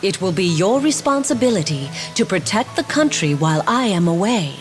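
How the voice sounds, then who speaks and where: A woman's voice: mid-range voice, sing-song, normal speed, over music, natural voice. A woman playing a regal, indulgent fairy queen and mother in a fantasy game, formal and stately. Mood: entrusting, concerned. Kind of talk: fantasy video game voice acting.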